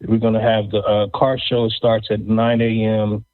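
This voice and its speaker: A man hosting a casual interview show, speaking haltingly and often repeating words. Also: fairly low pitch, completely flat delivery. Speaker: podcast host. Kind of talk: casual interview and event announcements. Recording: thin phone-like, band-limited audio.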